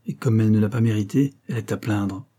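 A man voicing a frail old female servant in a storybook reading, faint, soft and resigned, gently reproachful. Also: fairly low pitch, fast, husky. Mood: stern, alarmed. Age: older. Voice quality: faint, lifeless voice.

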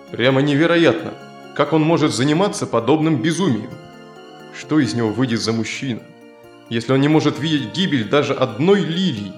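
An actor playing a grumbling, warlike Roman soldier who scorns gentleness, in an audio fairy tale. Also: male voice, medium pitch, close to an everyday voice. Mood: indignant. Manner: brooding, disdainful, rhetorical. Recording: roomy echo with music underneath.

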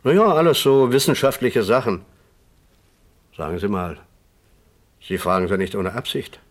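A man's voice: lowish voice, lively intonation, normal speed, lightly acted. An actor playing a plain-spoken, homely and slightly wary male country innkeeper in a radio crime drama. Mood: chatty, wary.

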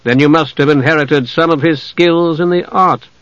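Dark elf wizard, played by a man: male, soft-spoken menace, measured, icy, fantasy audiobook, close dry sound. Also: on the high side, no room sound, dull audio, voice pushed up, lightly acted. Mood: measured, probing. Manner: quiet, measured, sardonic.

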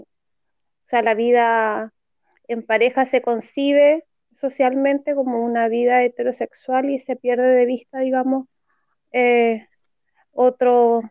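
A woman's voice: a little high, flat delivery, slow, dry recording. A Chilean woman in her forties, speaking thoughtfully in a casual discussion, often restarting her words. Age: 40s. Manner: thoughtful, hesitant with repeated words. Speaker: podcast guest, activist. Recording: dry, dull lo-fi sound.

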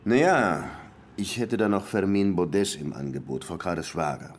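An actor playing a blunt, sardonic police lieutenant in a radio drama, talking in a wry, deliberate way. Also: male voice, low-pitched, animated. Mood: wry, musing.

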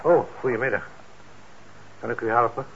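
An actor in a radio play as a kindly, trusting middle-aged shopkeeper, speaking gently and haltingly with patient encouragement. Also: male voice, mid-range voice, vocal fry. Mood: reflective. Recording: dull, noisy old radio recording.

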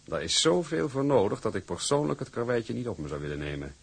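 An older male actor playing a shrewd, imperious company boss with a gravelly, husky voice and a deliberate, patronising manner in a drama. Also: mid-range voice, big pitch swings, exaggerated voice. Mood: stern, probing.

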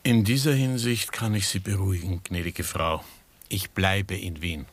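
An actor playing a proud, principled doctor in a German radio drama, speaking with formal, dry politeness. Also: male voice, deep voice, steady tone, normal speed, breathy edge.

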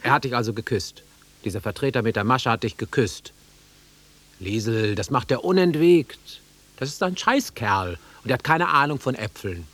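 Husky, animated middle-aged man. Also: medium pitch, moderate pace. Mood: agitated, insistent. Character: awkward, sentimental middle-aged divorced man, self-deprecating, insists he is realist. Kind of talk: German radio drama acting.

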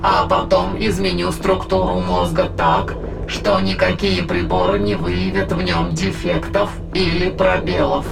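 A man playing a cold, calculating alien entity in an audio drama, speaking in a measured, clinical way over music. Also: high voice, expressive, vocal fry, exaggerated voice. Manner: measured, clinical, matter-of-fact. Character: alien immaterial entity in a dead woman's body, cold, calculating.

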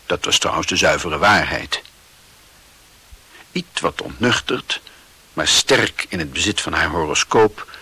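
Forceful, low-voiced husky male narrator. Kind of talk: literary radio drama narration.

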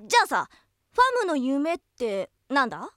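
A woman playing a brash, tomboyish young adventurer who speaks in rough, boyish casual language on an anime character radio show. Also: high voice, sing-song, moderate pace, dry recording, clean studio sound. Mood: casual, earnest. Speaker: radio request show co-host.

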